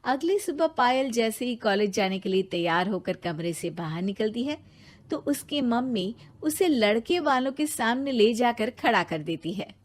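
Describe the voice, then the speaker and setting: A woman's voice: fairly high pitch, animated, normal speed. A woman narrating a scripted moral story as a storyteller.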